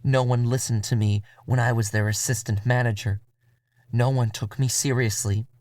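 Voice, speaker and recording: A man voicing a bitter, devoted woman vampire in a measured, confessional audio drama monologue. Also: mid-range voice, steady tone, darkish tone, no echo, natural voice. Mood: bitter, brooding. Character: bitter, devoted Middle Eastern American woman vampire, quietly menacing. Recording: music behind the voice.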